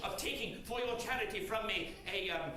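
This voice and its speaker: Old miser gone joyful, put on by a man, high wavering voice, stammers, heavy room echo. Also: normal speed, lightly acted. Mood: flustered. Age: older. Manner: breathless, stammering, laughing between words. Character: old male miser, newly giddy and kind. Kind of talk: storytelling with voiced character. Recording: very echoey room.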